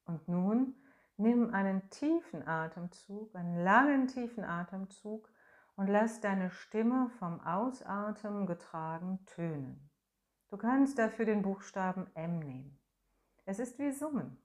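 A woman guides a breathing and voice meditation at a very slow, unhurried pace. Her voice sits in a middle range, soft and soothing, with long pauses between instructions. Now and then she hums a sustained tone to show the exercise. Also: mid-range voice, animated. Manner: hums and sings sustained tones as demonstration.

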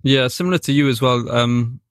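A man's voice: medium pitch, brisk, no room sound. Young Welsh man, dry close sound. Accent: Newport Welsh. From Wales. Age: young adult. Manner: hesitant, lots of fillers and restarts. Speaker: drama graduate. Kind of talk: casual chat.

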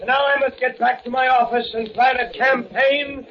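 An actor playing a middle-aged city mayor who speaks in a formal, measured way, in 1940s radio acting. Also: male voice, very high voice, flat delivery, crowd noise. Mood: solemn. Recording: muffled old radio recording.

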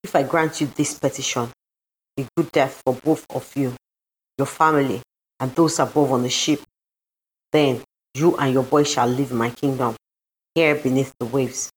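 A woman voicing a solemn, merciful deity of the deep ocean with stately, formal delivery in a story. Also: fairly even intonation, moderate pace, long pauses, slight background noise, rough recording.

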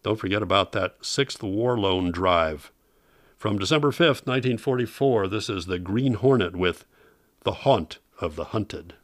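Low-pitched American man, dry close sound. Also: animated, measured pace, fairly bright tone, no echo. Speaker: host of an old-time radio program. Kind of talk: informative narration introducing vintage radio shows.

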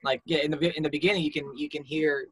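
Soft-spoken man with a slightly high voice. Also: fairly even intonation, fast talker, breathy edge, no room sound, low-quality recording. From Hong Kong. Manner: soft-spoken, modest, trailing off quietly. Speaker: singer guest. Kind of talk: casual interview.

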